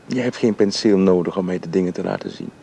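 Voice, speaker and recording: A man playing a tender young painter in love, speaking softly and often in a whisper in a radio drama. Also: low-pitched, fast, gravelly, a little hiss or hum. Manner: soft, intimate, often whispering. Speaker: suitor Jaap.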